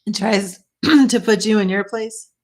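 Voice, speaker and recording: Hoarse-edged woman doing a tarot reading. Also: mid-range voice, unhurried, a little mellow. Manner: chatty, thinking aloud, with small laughs. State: losing her voice, clearing her throat. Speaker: tarot reader. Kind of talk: live-streamed tarot reading.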